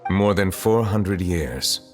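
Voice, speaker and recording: A very deep-voiced, ageless chief justice from a video game, solemn and modest. He speaks formally, with reserved dignity. A long reverb surrounds the voice, and music plays behind it. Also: lively intonation, slow. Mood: grave. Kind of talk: video game story dialogue acting. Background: background music.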